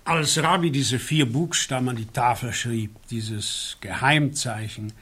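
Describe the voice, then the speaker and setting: A male hearing board member in a radio drama. His voice is raspy and middling in pitch. He asks skeptical questions in a deliberate, pointed way. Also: fairly bright tone. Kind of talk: radio play dialogue.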